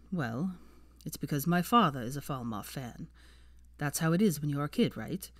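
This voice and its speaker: A woman voicing a calm, aloof young wizard man with a civil, drily sarcastic manner in a story reading. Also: no echo. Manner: low-key, measured, civil, drily sarcastic.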